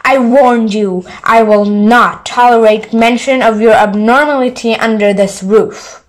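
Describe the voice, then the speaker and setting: A woman reading a storybook as a gruff, short-tempered, bullying middle-aged uncle who blusters and scolds. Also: on the high side, fairly even intonation, unhurried, natural voice, poor audio. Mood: furious.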